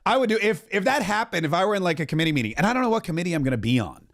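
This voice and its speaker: A man in his forties hosting a political commentary podcast, talking emphatically and rambling, now and then breaking into loud singing. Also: high voice, very animated, very fast.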